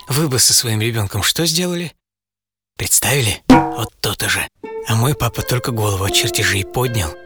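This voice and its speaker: Theatrical male storyteller, animated, loud music behind. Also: medium pitch. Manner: theatrical, savouring short phrases. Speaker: writer and presenter of the programme. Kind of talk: dramatized first-person storytelling. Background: loud music bed.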